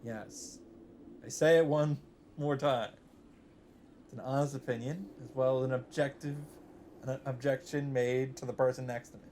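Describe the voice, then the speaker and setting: A man of middle pitch hosts a let's play of a visual novel, reading its narration aloud at an even pace. He now and then breaks off into blunt, cursing remarks. A faint noise sits under his voice. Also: moderate pace. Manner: reads narration evenly, breaks in with swearing asides.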